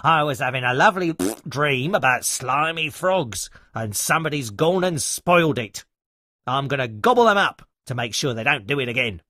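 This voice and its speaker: A man voicing a grumpy, hungry male troll in a storybook, loud and growling. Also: mid-range voice, lively intonation, normal speed, no echo. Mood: grumpy menacing. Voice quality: growling, grumbling.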